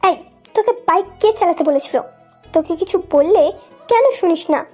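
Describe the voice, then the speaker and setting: Woman acting a high-pitched scolding mother. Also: normal speed, darkish tone. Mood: worried scolding. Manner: scolding, bossy. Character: scolding, worried middle-aged mother of two daughters. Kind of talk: dramatised love story narration. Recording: music behind the voice.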